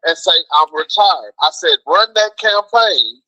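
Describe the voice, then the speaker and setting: American guy on a call-in, kinda high sharp voice, slangy, emphatic, repeats himself. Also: very animated, a little slow, no room sound, lo-fi sound. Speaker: phone-in guest, food truck cook. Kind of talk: casual chat, storytelling. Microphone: phone call.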